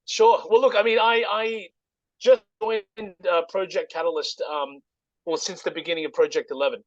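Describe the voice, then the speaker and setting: A man giving an informal, halting explanation as a guest presenter in casual conversation. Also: high voice, fairly even intonation, dry recording, highs cut off. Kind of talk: informal project pitch, casual chat.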